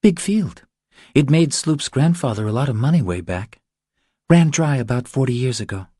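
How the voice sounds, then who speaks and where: A man's voice: medium pitch, lively intonation, moderate pace, dark tone, husky, no room sound, pitched above the performer's own voice. A weary young woman from an audiobook novel, as a male narrator puts her on. The voice is lifted and moves up and down a lot, explaining things in short, clipped sentences, with a dry, close sound. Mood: matter-of-fact.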